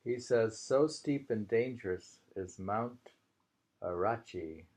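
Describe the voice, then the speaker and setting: A man reading poetry aloud and commenting on it informally, in a halting, musing way as he thinks aloud. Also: lowish voice, darkish tone.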